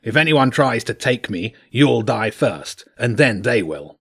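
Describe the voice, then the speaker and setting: Gruff badger monarch for a children's audiobook, performed by a man: husky, imperious, terse, highly animated delivery. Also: unhurried, heavily acted. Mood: menacing. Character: gruff, commanding old badger king, menacing. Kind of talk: audiobook character voice.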